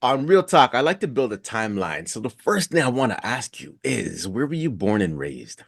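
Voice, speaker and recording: A man's voice: mid-range voice, big pitch swings, normal speed, vocal fry, dry recording, clean studio sound. A man hosting a casual podcast interview, asking questions in an informal, inquisitive way.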